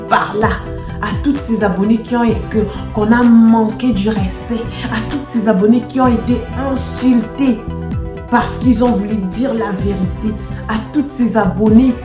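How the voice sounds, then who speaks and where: A woman with a Congolese French accent addressing her subscribers in a casual vlog, emphatic, repetitive and playfully boastful. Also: mid-range voice. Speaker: YouTuber. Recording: echoey room with music behind. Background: music.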